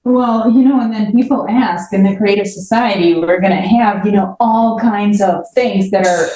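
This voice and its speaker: A woman with a mellow, soft-toned voice speaks in a casual, upbeat panel discussion. She runs through points as lists, and the sound is noticeably roomy and echoing. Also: medium pitch, normal speed.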